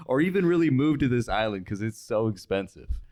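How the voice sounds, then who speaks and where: A man's voice: mid-range voice, sing-song, fairly quick, a little mellow. A young man in a casual podcast chat, speaking informally and wondering aloud. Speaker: podcast guest, radio sales associate.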